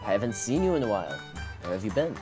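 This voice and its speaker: A man's voice: on the low side, sing-song, rapid-fire. A teenage male actor playing a friendly, protective tavern keeper in a student fantasy radio drama, with music behind. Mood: welcoming. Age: teens. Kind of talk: student radio theatre acting.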